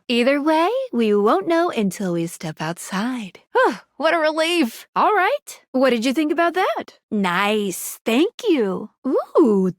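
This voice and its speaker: A woman voicing a perky, earnest pair of magic eyeglasses who guides the player in a video game, quirky and expressive with cute little interjections. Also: high voice, no room sound, studio quality, heavily acted. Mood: chatty, upbeat.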